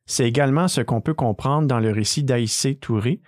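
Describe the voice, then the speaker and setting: Dry-voiced podcast host. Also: male voice, medium pitch, darkish tone, dry recording. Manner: measured, read-aloud presentation. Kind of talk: scripted narration.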